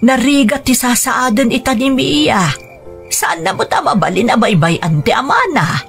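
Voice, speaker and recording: A woman's voice: on the high side, animated, normal speed, gravelly. A woman with an Ilocano accent playing a caring, worried middle-aged mother in a radio drama. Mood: consoling, tender. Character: caring, worried mother gently advising her grown son. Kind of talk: Filipino radio drama acting. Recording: music under the voice.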